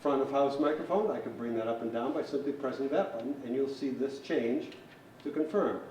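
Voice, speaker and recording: A man's voice: medium pitch, fairly quick, darkish tone. A man giving a hands-on equipment tutorial as a technician, explaining things in a methodical, plain-spoken way. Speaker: technician instructor. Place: large hall. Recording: roomy echo, distant mic, faint noise.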